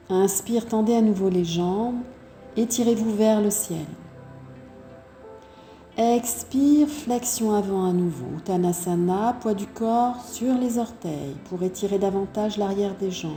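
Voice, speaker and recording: A woman yoga teacher with a very soft, dark-toned voice leads a practice slowly and calmly. She gives measured instructions for breathing and posture, leaving pauses between them. The room adds noticeable echo, and faint music plays behind her. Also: medium pitch. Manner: calm, measured step-by-step guidance with pauses.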